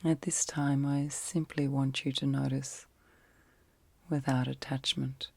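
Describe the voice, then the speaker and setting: Slow, whispery woman guiding sleep meditation. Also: very deep voice, level tone, dry recording. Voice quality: soft, breathy, often whispered. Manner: gentle, soothing, long pauses between short phrases. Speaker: guided meditation host. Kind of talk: ASMR guided sleep meditation.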